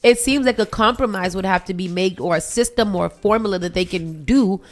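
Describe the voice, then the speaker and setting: A woman's voice: medium pitch, a little fast. A woman co-hosting a morning radio talk show, chatting bluntly and casually with slang. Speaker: radio co-host.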